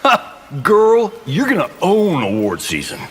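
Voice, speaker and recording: A man's voice: high voice, wildly swooping pitch, a little slow, husky, heavily acted. A man playing a flippant, theatrical Hollywood showman fighter trading quips in a video game. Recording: noisy game audio, hall reverb.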